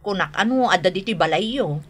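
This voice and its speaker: A woman's voice: medium pitch, lively intonation, fairly quick, natural voice. A woman playing a grown daughter fretting over family trouble in a radio drama, with an Ilocano accent and a sighing, complaining manner. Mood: troubled.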